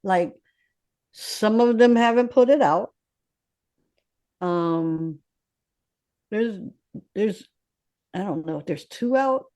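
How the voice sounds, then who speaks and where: A woman's voice: medium pitch, takes it slowly, pauses often. Blunt streetwise woman in her fifties. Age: 50s. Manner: blunt, swearing, streetwise and animated. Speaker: recording artist, guest. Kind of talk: candid casual interview talk.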